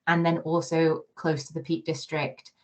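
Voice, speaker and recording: A woman's voice: sits low, completely flat delivery, normal speed, a little mellow. A woman hosting an informal online session, speaking in a hesitant, loosely phrased way. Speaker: university host moderating a session.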